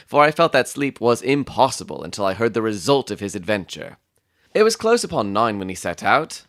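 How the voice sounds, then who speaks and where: A man playing an observant, composed Victorian gentleman who narrates a detective story in a measured, literary way. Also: fairly low pitch, no echo, clean studio sound. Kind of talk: dramatic audiobook narration.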